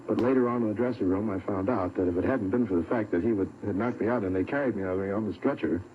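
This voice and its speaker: An American man from Missouri telling a personal story as a radio guest, a little haltingly, on an old broadcast recording. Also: on the low side, lo-fi sound, a little hiss or hum. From Saint Louis, Missouri. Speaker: show guest telling an anecdote.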